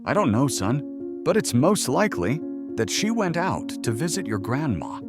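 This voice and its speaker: A man voicing a gentle, patient father in a comic dub. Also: mid-range voice, huge pitch swings, moderate pace, music in the background. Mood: subdued. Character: gentle, patient father consoling his son.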